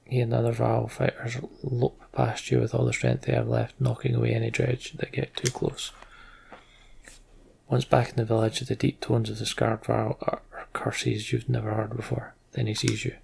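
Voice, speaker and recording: Very gravelly man commentating a game. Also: mid-range voice, flat delivery, normal speed, darkish tone, slight background noise. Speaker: let's play host. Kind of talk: casual game commentary with voiced story narration.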